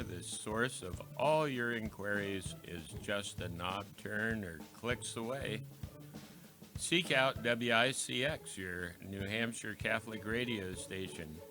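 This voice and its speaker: Theatrical male radio announcer over music. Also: fairly low pitch. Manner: theatrical, measured. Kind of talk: old-time radio announcing and scripted promo.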